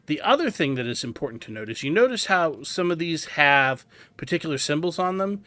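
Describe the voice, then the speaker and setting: A man's voice: a little high, animated, fairly quick, sharp tone, no echo. A man hosting a video game guide, giving methodical, instructional tutorial commentary.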